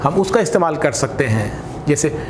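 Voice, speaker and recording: An Indian man giving instructional talk as a voice trainer, speaking in an emphatic, deliberate way and mixing Hindi with some English. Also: lively intonation. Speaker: voice trainer for radio jockeys. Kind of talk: instructional talk with spoken demonstrations. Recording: echoey room with faint rumbling noise.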